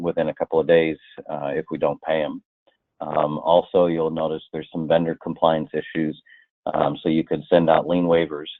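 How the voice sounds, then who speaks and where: Very deep-voiced man on a phone-like line. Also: no room sound. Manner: explanatory, illustrates with hypothetical quotes. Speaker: software trainer presenting a webinar. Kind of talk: conversational slide-by-slide software walkthrough. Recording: muffled, tinny phone-like line.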